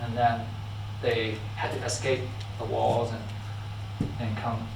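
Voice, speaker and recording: A man from Hong Kong with a Hong Kong accent, giving a friendly, halting talk with slides, full of fillers and restarts, with frequent laughs. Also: medium pitch, monotone, gravelly, noisy background. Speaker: guest speaker. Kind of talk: informal lecture with slides. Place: church hall. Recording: echoey hall, mic at a distance.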